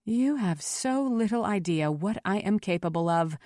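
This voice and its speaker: A woman voicing a proud female mystic in an audiobook, cool, forceful and scornful. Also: medium pitch, lively intonation, normal speed, dry recording, clean studio sound, natural voice. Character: proud defiant female mystic facing down a mob. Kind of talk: audiobook character voice.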